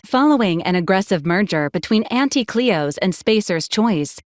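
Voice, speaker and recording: A woman voicing a polite, officious robot assistant in a video game, speaking in a formal, clipped, deadpan way. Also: mid-range voice, no echo, clean studio sound, lightly acted. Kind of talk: scripted video game character dialogue.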